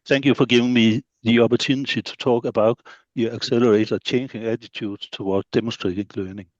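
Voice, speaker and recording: A middle-aged man with a Danish accent and Danish as his first language, giving a measured conference presentation as a school head of studies, laying out points one by one with occasional fillers. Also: medium pitch, fairly even intonation, no echo, highs cut off. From Denmark. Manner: measured, list-like delivery with fillers.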